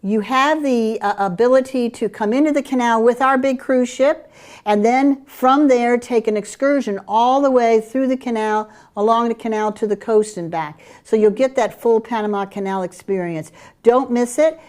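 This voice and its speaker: A middle-aged woman from Curaçao with a Dutch Caribbean accent, presenting group trips in an eager sales pitch. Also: moderate pace, a little mellow. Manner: enthusiastic sales pitch with fillers. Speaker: travel program presenter. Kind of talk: promotional chat about group tours.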